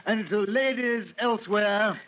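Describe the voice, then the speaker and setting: An actor playing a merry, amorous, drunken English gentleman with a drawling delivery in vintage radio horror style. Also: high-pitched, unhurried. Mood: tipsy, merry. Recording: muffled old radio recording.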